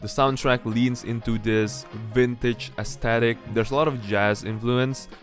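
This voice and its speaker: A man's voice: medium pitch, steady tone, a little slow. A man giving opinionated video game review commentary in an informal way, often checking in with tag questions. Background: background music with guitar.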